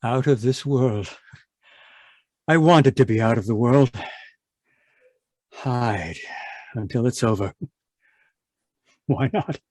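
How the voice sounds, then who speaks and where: A man acts out a haunted, domineering chemist from an old radio horror play. He speaks at a middling pitch, brooding and imperious, in a dry, echo-free space. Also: slow, pauses often, darkish tone. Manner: imperious, clipped outbursts and haunted muttering. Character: brooding, guilt-ridden, domineering male chemist obsessed with roses.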